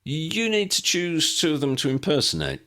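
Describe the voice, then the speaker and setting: A sceptical young male wizard, as a man voices him, practical and matter-of-fact. His voice is a bit raised from the reader's low tone and moves widely in pitch in this storybook reading. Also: wildly swooping pitch, normal speed, bright, forceful tone, exaggerated voice.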